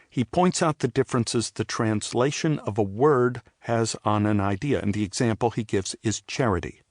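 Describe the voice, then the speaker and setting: An older man giving a scripted, reflective first-person narration for an audio drama, in a measured storytelling manner. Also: mid-range voice, no room sound, clean studio sound. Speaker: narrator and playwright telling his own story.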